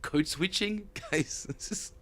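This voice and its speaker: A man with a French accent, chatting casually and self-mockingly with viewers as he streams his bass practice, often chuckling. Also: slow, bright, forceful tone, no room sound. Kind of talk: casual livestream chat.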